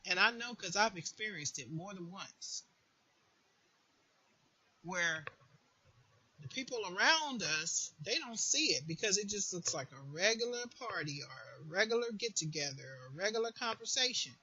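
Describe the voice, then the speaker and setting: A woman's voice: medium pitch, expressive, moderate pace, pushed and bright, husky, muffled recording. A woman giving informal spoken advice as a host, with an emphatic manner that repeats words for stress. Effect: voice distortion late in the recording. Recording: faint background noise.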